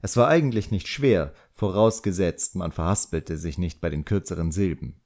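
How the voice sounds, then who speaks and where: A man's voice: low-pitched, normal speed, a little mellow. A man reading a fantasy novel as scripted audiobook narration.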